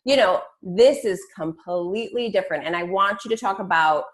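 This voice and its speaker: A woman's voice: mid-range voice, normal speed. A woman hosting a team call as a fitness coach, talking casually and informally with plenty of slang. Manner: chatty, informal, peppered with slang and swearing. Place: online group call.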